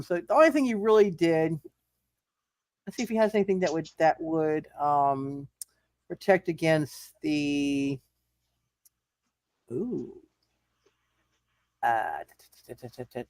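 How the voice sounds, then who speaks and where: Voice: medium pitch, a little mellow, dry recording. A game master running a live tabletop roleplay, thinking aloud and often repeating words and phrases while narrating and making rulings.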